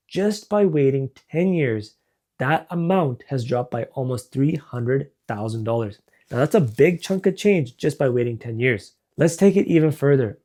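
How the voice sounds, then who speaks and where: A man in the finance industry talks viewers through common money mistakes in a steady, friendly way. His voice sits at a middle pitch with a dark, relaxed warmth. He explains each point patiently, like a one-on-one advice session. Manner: friendly explanatory, walks through numeric examples. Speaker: financial advisor. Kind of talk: direct-to-camera advice video.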